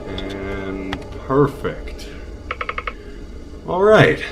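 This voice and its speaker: A man's voice: low-pitched, big pitch swings, very slow, loud music behind the voice, exaggerated voice. A man playing a bitter electronics repairman villain in an audio drama, who puts on folksy politeness over arrogant contempt. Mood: smug, sinister. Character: bitter male tech repairman villain, falsely chipper with customers.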